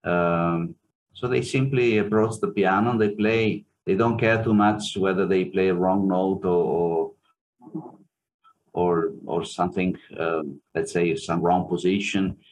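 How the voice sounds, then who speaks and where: Slow, deep-voiced Italian man. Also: steady tone, low-quality recording. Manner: hesitant, many fillers and restarts. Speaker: pianist and music professor, interview guest. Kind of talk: reflective interview answers.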